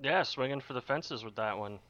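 A mid-pitched man chats casually as a podcast co-host. He gives short, dry, wisecracking replies. His audio sounds somewhat dull and muffled. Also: expressive, fairly quick, fairly bright tone, dry recording. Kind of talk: casual chat.

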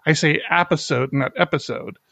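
A middle-aged man hosting a podcast in a candid, halting way, with a slight speech impediment and many restarts. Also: fairly high pitch, vocal fry, bright, forceful tone. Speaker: podcast host. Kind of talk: casual chat.

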